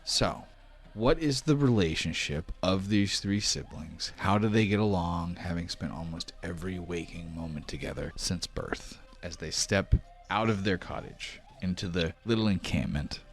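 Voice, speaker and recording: A man working as a game master, narrating a tabletop role-playing game in measured, descriptive storytelling and putting questions to his players. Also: low voice, soft background music.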